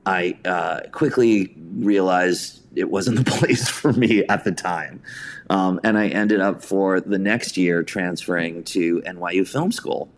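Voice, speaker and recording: An American man with a low voice speaks as an interview guest. He is reflective and conversational, telling anecdotes and leaning on key words for emphasis. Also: measured pace, a little hiss or hum. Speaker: guest, theatre writer and director.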